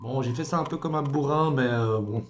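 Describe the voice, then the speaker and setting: A man's voice: medium pitch, a little fast, slight background noise. A man giving a casual hands-on tutorial, informal and hesitant, thinking aloud as he works. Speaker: DIY tutorial maker.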